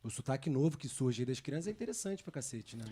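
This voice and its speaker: A man's voice: mid-range voice, sing-song, fast, warm tone, breathy edge. A Brazilian man co-hosting a podcast, chatting informally and joking, with a lot of slang. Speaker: podcast host. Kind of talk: casual podcast conversation.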